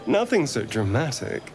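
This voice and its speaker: An actor playing a vain, seductive and sardonic rogue in a video game, with an arch, theatrical drawl. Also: male voice, a little high.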